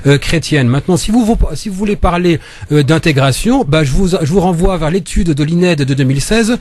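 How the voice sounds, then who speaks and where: A male guest in a radio studio debate who argues his point in a measured, explaining way. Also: a little high, lively intonation, darkish tone.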